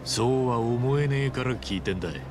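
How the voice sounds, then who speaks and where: A man voicing an easygoing but fearless Japanese tough traveller in a video game cutscene, with casual, rough street speech. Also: medium pitch, a little fast, background noise, natural voice. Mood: calm, defiant.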